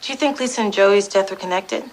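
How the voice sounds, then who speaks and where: A woman's voice: mid-range voice, fast, slight background noise, lightly acted, low-quality recording. Actress as direct, businesslike female police detective. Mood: businesslike, probing. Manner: direct, clipped questioning. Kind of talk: police audio drama acting.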